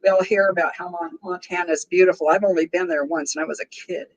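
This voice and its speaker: A woman's voice: lowish voice, fairly even intonation, fast, lo-fi sound. A woman in her sixties with a strong voice, hosting a casual livestream and chatting easily with her viewers, often laughing. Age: 60s. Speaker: livestream host.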